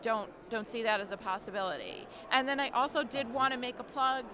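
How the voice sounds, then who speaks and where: A woman's voice: fairly high pitch, a little fast, crowd noise. A woman in the audience asking a hesitant question, then announcing an upcoming panel.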